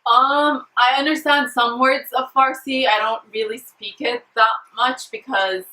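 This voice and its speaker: Bright giggly woman in her twenties. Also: on the high side, fairly even intonation, a little slow, roomy sound, far from the mic, rough recording. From Afghan and Syrian family. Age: 20s. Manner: giggly, jokey, chatty with many fillers. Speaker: beauty vlogger, freelance makeup artist. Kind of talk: casual Q&A chat to camera.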